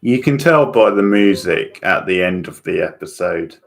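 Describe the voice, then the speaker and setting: A man's voice: low-pitched, lively intonation, moderate pace, creaky. A man giving casual live watchalong commentary as host, chatty and informal, reacting in short bursts.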